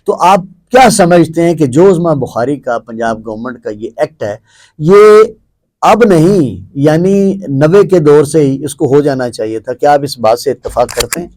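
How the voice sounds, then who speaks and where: A man's voice: on the high side, animated, darkish tone. A man with a Pakistani accent hosting a talk show, setting out an issue and putting questions with emphatic repeated phrases. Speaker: host.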